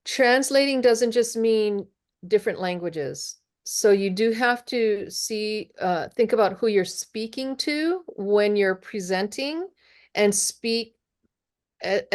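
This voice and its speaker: A woman from Hawaii speaks as a guest trainer on a video call, with a gravelly, fry-tinged voice at an ordinary pitch. She explains her point earnestly and without hurry, in a casual coaching tone. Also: unhurried, a little mellow.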